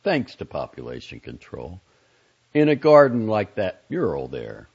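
A man's voice: low voice, huge pitch swings, unhurried, darkish tone, slightly rough, highs cut off, pitched above the performer's own voice, full character voice. A man voicing a centuries-old doctor in an audiobook story, lofty and courteous, lecturing with emphatic zeal. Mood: preaching, zealous. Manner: emphatic, oratorical.

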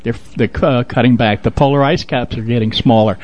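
A man chatting casually as a radio co-host, with a halting, talkative and promotional manner. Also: medium pitch, steady tone, darkish tone. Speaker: radio show co-host and local theater director.

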